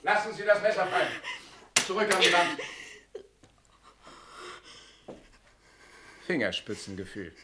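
An actor playing a shrewd, insistent police commissioner who questions with pressing authority in a German radio crime drama. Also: male voice, sits high, very animated, fairly quick, background noise. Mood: commanding.

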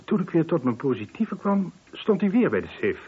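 An actor playing a wealthy older householder, formal, clipped and composed, in a radio play. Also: male voice, a little high, very animated, moderate pace, breathy edge, full character voice. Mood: tense recounting. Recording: dull, lo-fi old radio recording.

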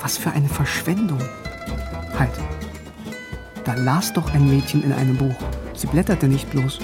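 An actor playing a bookish young East German philosopher in a radio drama, narrating and talking with wry, musing irony. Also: male voice, mid-range voice, unhurried, music in the background, natural voice. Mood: intrigued.

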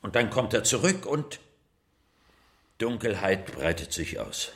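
A man's voice: on the low side, sing-song, measured pace. Conversational, coaxing male art curator. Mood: soothing, earnest. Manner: conversational, coaxing, with rhetorical tag questions. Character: East Berlin museum curator, conciliatory, musing man. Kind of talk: naturalistic German radio drama dialogue.

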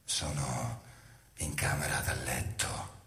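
A man playing a brooding schemer in a poetic stage monologue, speaking in deliberate, hushed, halting phrases. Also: extremely low pitch, level tone, pitched below the performer's own voice, heavily acted. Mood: hushed, menacing. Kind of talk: slow poetic stage monologue over music. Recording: echoey stage voice under loud music. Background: loud music.

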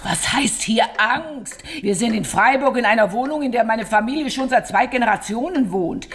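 Woman playing a bossy indignant old auntie in a radio crime drama, highish voice. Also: lively intonation, normal speed, lightly acted. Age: older. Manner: scolding, incredulous, matriarchal. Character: stern, indignant elderly aunt who raised her niece.

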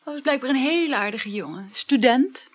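A flighty married woman in a radio drama, chatting about scandal as casually as the weather. Her pitch swings freely and animatedly, and the voice has a slightly husky, breathy edge. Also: lively intonation, normal speed. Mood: excited, chatty. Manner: gossipy, casual, matter-of-fact about scandal. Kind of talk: naturalistic radio play acting.

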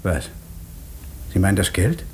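An actor playing a sceptical, blustery police inspector in a 1950s German radio drama, speaking in an emphatic, doubting way. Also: male voice, low-pitched, very animated, unhurried, a little mellow, a little hiss or hum. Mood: incredulous.

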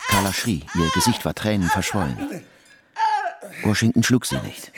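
A man's voice: sits low, sing-song, normal speed, over a noisy crowd. A man narrating a literary radio play dramatically, in terse, declarative sentences. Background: music.

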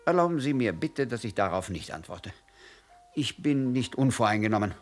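An actor playing a reserved, formal diplomat who answers a police inspector politely but evasively, in a radio play. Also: male voice, medium pitch, animated, moderate pace, soft background music, close to an everyday voice. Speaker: diplomat, the wife's husband.

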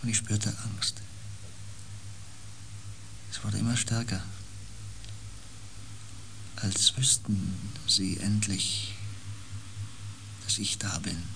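An actor playing a haunted, fearful man on a space expedition in a radio play, speaking with brooding, breathy intensity. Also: on the low side, steady tone, extremely slow, pauses often, pushed and bright, a little hiss or hum, exaggerated voice, low-quality recording. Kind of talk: German science fiction radio play acting.

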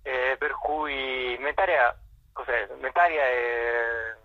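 A man giving unscripted, halting answers as a guest in a radio interview, searching for words. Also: mid-range voice, fairly even intonation, no echo, dull audio. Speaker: theatre festival director, interview guest.

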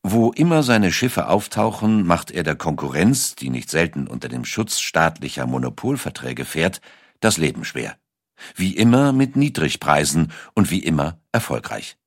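A man's voice: deep voice, big pitch swings, normal speed, no room sound, clean studio sound. A man reading a scripted documentary narration as its narrator.

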